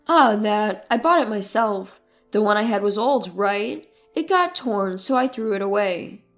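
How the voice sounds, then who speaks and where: A woman voicing a flustered, evasive and sheepish husband who speaks haltingly in a narrated story. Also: medium pitch, lively intonation, a bit bright, faint music behind, voice pushed down. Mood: defensive. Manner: halting, stammering.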